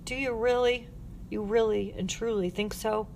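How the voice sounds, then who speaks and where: A woman's voice: a little high, fairly even intonation, moderate pace, creaky, a little mellow, voice pushed up. A woman voicing a lonely, earnest young girl who pleads, in an audiobook with background noise. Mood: earnest, sad. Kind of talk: audiobook character voice.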